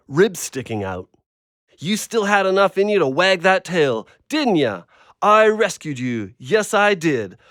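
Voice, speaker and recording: A man's voice: on the high side, fairly bright tone, no room sound, studio quality. A man playing a blunt, guarded, literal-minded dog owner in an audio drama, with an abrupt manner. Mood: fond, doting.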